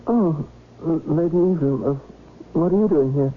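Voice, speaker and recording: An actor playing a bewildered, indignant young doctor, stammering and agitated, in old-time radio drama style. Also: male voice, fairly high pitch, normal speed, lightly acted. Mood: groggy, confused. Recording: noisy, tinny radio drama recording.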